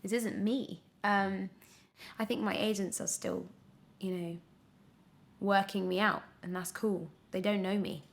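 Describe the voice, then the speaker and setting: A woman's voice: medium pitch, fairly even intonation, unhurried, creaky. A young woman speaking candidly and casually as an interview guest, thinking aloud in a hesitant, reflective way. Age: young adult. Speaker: podcast guest, actress.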